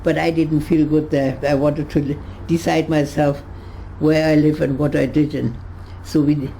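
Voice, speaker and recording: Gravelly, halting woman over 100. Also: low-pitched, little pitch movement, dark tone, a little hiss or hum. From Germany. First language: German. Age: 100s. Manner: halting, many restarts and searches for words. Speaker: interviewee. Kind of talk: reminiscing in conversation. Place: her home.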